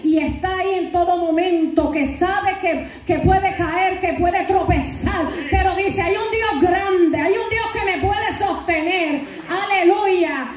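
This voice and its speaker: High-voiced woman pastor preaching in echoing hall. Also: slow, hardly any pauses. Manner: fervent preaching peppered with hallelujahs. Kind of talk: sermon. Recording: very echoey hall, voice from far off.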